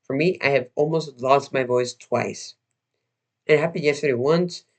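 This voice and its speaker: A young man hosting a casual livestream, slightly hoarse and losing his voice, talking in a rambling, halting way full of restarts and fillers. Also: medium pitch. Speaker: livestream host.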